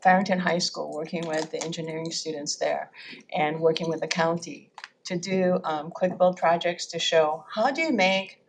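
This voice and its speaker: Woman with a Japanese accent, lower voice, halting public testimony, faint background noise. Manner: many fillers, self-correcting. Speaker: testifier at a legislative hearing.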